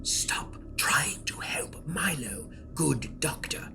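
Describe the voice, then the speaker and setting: A menacing, otherworldly knight out of a nightmare for an audio drama. He speaks very slowly in a breathy, threatening whisper, with music clearly playing behind him. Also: on the high side, expressive, full character voice. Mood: quiet menace. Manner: slow, threatening.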